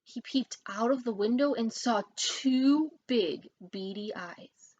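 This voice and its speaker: A woman reading a children's story aloud as an expressive narrator. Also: a little high, slow, dull audio. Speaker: storybook reader.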